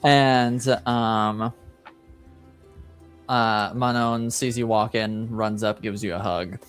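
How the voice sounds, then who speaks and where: Slow, halting male game master. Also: fairly low pitch, steady tone, a bit bright, soft background music. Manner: halting, frequent pauses. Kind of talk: improvised tabletop game narration.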